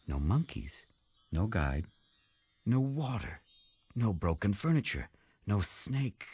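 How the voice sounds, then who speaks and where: A man narrating a children's storybook aloud in an expressive read-aloud style. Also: on the low side, slightly rough.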